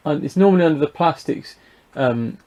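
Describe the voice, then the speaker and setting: A man's voice: a little high, fairly quick, warm tone. A British man giving a casual, unscripted hobby review, talking through what he shows in a hesitant, rambling way, thinking aloud.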